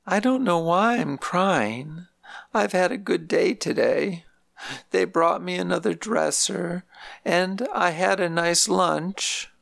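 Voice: mid-range voice, slow pace, vocal fry, dry recording, pitched above the performer's own voice, exaggerated voice. A narrator voicing a frail elderly grandmother in a nursing home, speaking in a plaintive, complaining way. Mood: tearful. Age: older. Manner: plaintive, soft-spoken complaining.

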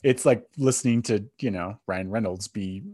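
Low-voiced man chatting on a podcast. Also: moderate pace, warm tone, breathy edge, no room sound, clean studio sound. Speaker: podcast co-host. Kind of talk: casual film discussion.

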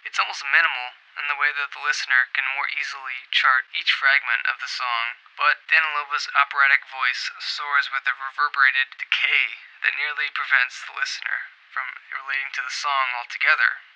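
A man reading a scripted album review as host, in a measured, articulate manner with formal wording. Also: mid-range voice, little pitch movement, sharp tone, smooth, low-quality recording.

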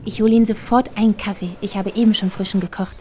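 An actress playing an eager, chatty small-town hostess with a soft spot for owls, in a German audio drama. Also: female voice, medium pitch, fairly even intonation, fast talker, slight background noise, lightly acted. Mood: helpful, confiding.

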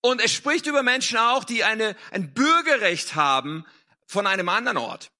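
A man's voice: sits high, big pitch swings, sharp tone. A man reading scripture and interpreting a sermon phrase by phrase in an earnest manner. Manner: quick, earnest, restating phrases.

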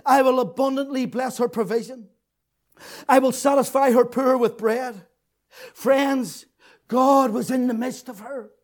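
A man preaching an impassioned sermon, repeating his phrases, swinging from shouted peaks to hushed, tearful lows. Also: steady tone, a little slow. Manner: fervent, repetitive, rising to shouts then dropping low.

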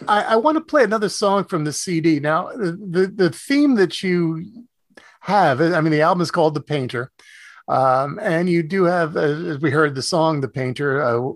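A man's voice: fairly high pitch, no room sound. A man hosting a conversational music interview, feeling his way through meandering, hesitant questions. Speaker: radio host, interviewer.

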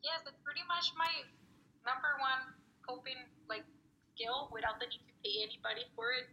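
A woman's voice: high-pitched, a little fast, sharp tone, poor audio. A woman in her thirties from Mexico, a native Spanish speaker, answering interview questions thoughtfully with frequent fillers and restarts. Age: 30s. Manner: reflective, with many fillers and restarts. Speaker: poet and student advisor.

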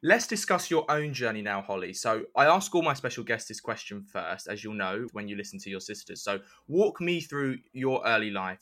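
Bright-voiced male podcast interviewer. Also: on the low side. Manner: structured questions and short acknowledgements. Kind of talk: conversational interview.

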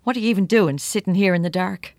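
A woman's voice: medium pitch, fast, darkish tone, no echo, close to an everyday voice. An actress playing a bitter, defensive middle-aged widow in an audio drama, curt and sarcastic with her student child. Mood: defensive, startled. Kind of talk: naturalistic audio drama acting.

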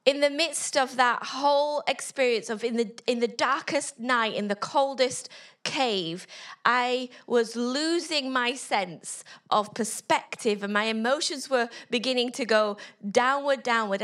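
A woman's voice: a little high, a little slow, fairly bright tone. A middle-aged woman giving an inspirational talk on stage to a live audience through a handheld mic, telling a story with frequent laughter. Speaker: conference speaker.

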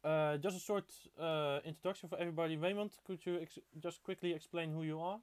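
A young man from the Netherlands hosts a casual live podcast. His voice sits a bit high, and he talks in a halting way, tripping over words as he goes. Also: fairly even intonation, clear, resonant tone. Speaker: podcast host.

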